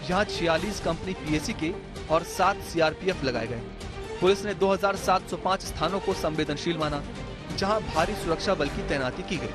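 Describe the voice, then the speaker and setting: High-pitched male news narrator over loud music. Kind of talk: scripted dramatic news narration.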